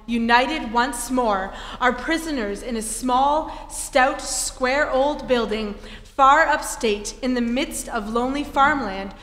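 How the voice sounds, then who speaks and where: Dramatic serial announcer played by a woman, high forceful voice, deliberate phrasing, roomy hall. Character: dramatic radio serial announcer narrating superhero adventure. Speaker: narrator. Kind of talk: scripted narration, 1940s radio style. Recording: echoey hall, music behind.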